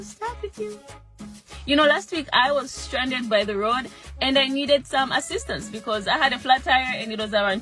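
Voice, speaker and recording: Zambian woman, high bright voice, joking storyteller vlog, soft music under it. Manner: animated, humorous, acts out her own voice. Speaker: vlogger. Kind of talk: casual storytelling to camera.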